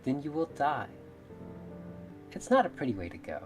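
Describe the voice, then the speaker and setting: A woman voicing a mermaid in a visual novel, measured, formal and coolly reasonable, politely menacing. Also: extremely low pitch, very animated. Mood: serenely menacing. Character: calm, menacing female mermaid, politely murderous. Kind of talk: visual novel character read-aloud. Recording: music behind the voice.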